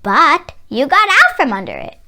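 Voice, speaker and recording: A woman voicing a kindly old grandmother in a storybook, gentle, steady and encouraging. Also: high voice, moderate pace, heavily acted.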